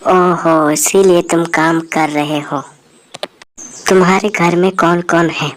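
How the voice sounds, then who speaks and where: A woman's voice: lowish voice, steady tone, slow pace, a little hiss or hum. A woman voicing friendly, chatty young women in a cartoon story, speaking informally. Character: friendly, egalitarian young women on a forest outing.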